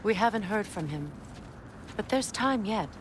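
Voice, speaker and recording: A resolute warrior queen, acted by a woman, speaks firmly and deliberately as a wartime planner in a fantasy game. Her pitch is moderate, and loud ambient noise runs behind the voice. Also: animated, lightly acted. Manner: firm, measured. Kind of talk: cinematic video game acting.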